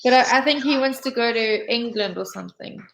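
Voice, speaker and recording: A woman's voice: fairly high pitch, brisk, creaky, a bit bright, poor audio. A woman with a South African accent, chatting in a friendly way as an English tutor over a video call and asking lots of follow-up questions. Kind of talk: casual conversation practice.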